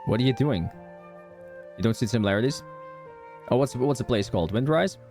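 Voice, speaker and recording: A man's voice: mid-range voice, expressive, brisk, darkish tone. A man streaming a game playthrough in a casual, informal way, commenting and reading text aloud. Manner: informal, sweary. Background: game music.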